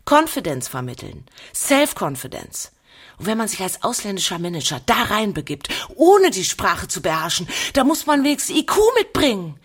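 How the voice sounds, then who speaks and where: Female, husky and very lively in pitch, emphatic, peppered with English business jargon. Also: moderate pace. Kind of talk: opinionated interview talk.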